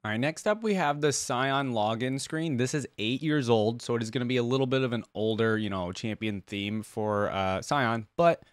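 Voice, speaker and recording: A man's voice: mid-range voice, normal speed, few pauses, clean tone, dry recording, studio quality. A man hosting a reaction video, giving casual, slangy, exclamatory commentary.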